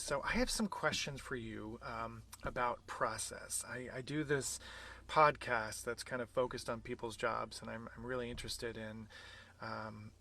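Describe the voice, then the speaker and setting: A man's voice: medium pitch, sharp tone. A middle-aged American man hosting a podcast, introducing and interviewing a guest. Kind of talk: scripted introduction and live conversational interview.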